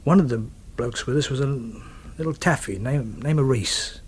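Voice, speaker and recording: An actor in a radio drama playing a former soldier who recounts wartime events in a halting, wry, understated way. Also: male voice, medium pitch, a little hiss or hum, close to an everyday voice. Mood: grim, halting.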